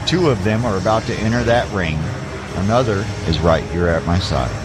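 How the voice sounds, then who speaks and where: A man's voice: low-pitched, expressive, moderate pace, over loud music. An actor playing a stern older fight trainer and father figure in an audio drama, commanding and authoritative. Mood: proud.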